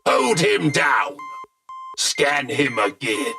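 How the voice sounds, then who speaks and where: A man's voice: on the high side, big pitch swings, drawn-out words, gravelly, music in the background, full character voice. A man playing a haughty, short-tempered corporate nobleman in a sci-fi audio drama, imperious and commanding. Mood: commanding, suspicious.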